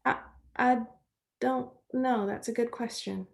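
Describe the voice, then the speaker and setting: A woman's voice: a little high, steady tone, slow pace, creaky. An actress playing a loyal, reassuring young country woman in a stage play reading, plain-spoken and earnest. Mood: earnest reasoning. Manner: folksy, earnest, reasoning things out.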